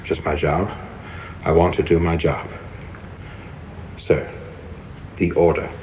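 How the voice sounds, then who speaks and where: An actor playing a coldly zealous young government official in a radio play, speaking formally and insistently with polite deference that cuts. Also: male voice, rumbling low, level tone, takes it slowly, a little mellow. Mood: insistent, clipped. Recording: clearly noisy background ambience.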